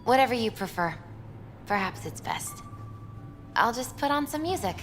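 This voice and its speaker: An actress playing a gentle, caring tarot reader in a video game, reflective and philosophical in her delivery. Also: a little high, very animated, normal speed, music in the background. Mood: gentle, welcoming.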